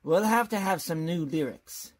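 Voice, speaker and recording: A woman's voice: lowish voice, expressive, normal speed. A woman playing a bumbling, hesitant male college professor in an old-time style radio comedy. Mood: hesitant, polite. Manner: hesitant, dithering.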